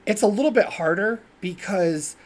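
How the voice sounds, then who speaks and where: A man with a higher-pitched voice co-hosting a podcast in casual chat over a video call, rambling and restarting his sentences. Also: normal speed. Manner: rambling, self-interrupting, with repeated fillers. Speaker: podcast co-host.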